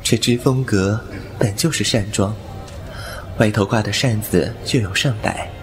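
A man's voice: lowish voice, a little slow. A man playing a fox spirit painter who narrates his story in a languid, teasing manner, with music behind him. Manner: languid, wry and teasing, laughs softly. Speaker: narrator and lead. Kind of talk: Chinese audio drama acting. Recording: over background music.

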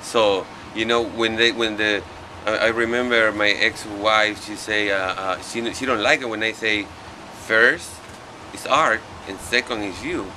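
A middle-aged man from Peru with a Spanish accent, talking casually in halting non-native English as an interviewed painter. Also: lowish voice, steady tone. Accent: Peruvian Spanish. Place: outdoors on a porch. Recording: outdoor street noise.

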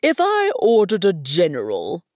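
A self-important old storybook monarch, as a woman narrator puts him on. He proclaims slowly and grandly, swinging up and down in pitch, commanding yet good-natured underneath. The sound is close and dry. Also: huge pitch swings, darkish tone, no room sound. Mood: pompous, reasoning. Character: pompous old male king, commanding but good-natured.

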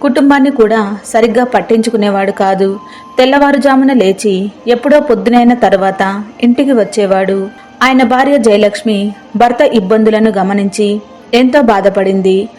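A woman's voice: fairly high pitch, normal speed, a little mellow. A woman reading a scripted moral folk tale as narrator. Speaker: story narrator.

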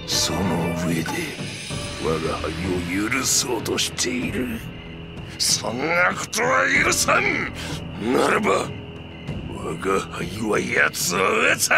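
A man's voice: mid-range voice, creaky, exaggerated voice. A man voicing a scheming, volatile middle-aged warlord villain in a Japanese video game, melodramatic, swinging from sly muttering to shrieks. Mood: brooding, indignant.

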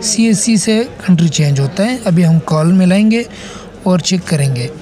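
A man with a high-pitched voice and a mellow, relaxed tone presents a phone repair tutorial. He talks casually and instructively, taking the listener through it step by step with frequent check-ins. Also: normal speed. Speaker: tech tutorial host.

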